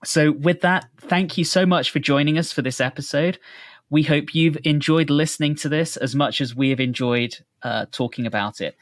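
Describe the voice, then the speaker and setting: A man's voice: a little high, moderate pace, dry recording, studio quality. A man co-hosting a podcast in a casual, chatty style. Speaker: podcast co-host.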